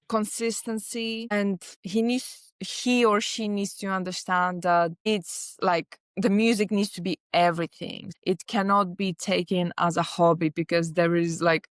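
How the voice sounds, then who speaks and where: A woman speaks in a smooth, clean voice at an everyday middle pitch. She answers interview questions earnestly, piling up points in long lists. The sound is dry and close. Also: fairly even intonation, a little slow, hardly any pauses, no room sound. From Lithuania. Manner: earnest, talks in long lists with many likes. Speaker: artist manager, guest.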